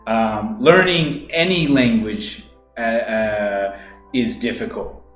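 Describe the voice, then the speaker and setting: Guy cohosting an english stream, talks slow, lots of ums, roomy echo and faint music behind. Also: on the low side. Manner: relaxed, rambling, with many fillers and restarts. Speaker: English teacher co-hosting a livestream. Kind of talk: casual livestream chat.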